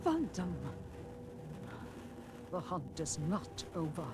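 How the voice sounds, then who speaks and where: A woman's voice: fairly low pitch, sing-song, slow pace, music in the background. A woman playing a proud, vengeful African warrior in a cartoon, fierce and grandiose. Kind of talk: animated action series dialogue.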